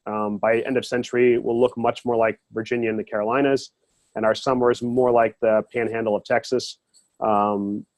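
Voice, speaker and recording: A man with a mid-range voice talks quickly through a webinar presentation. He is getting over a cold, and the sound is close and dry. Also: medium pitch, a little mellow, no room sound. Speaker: education and outreach director. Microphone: video call.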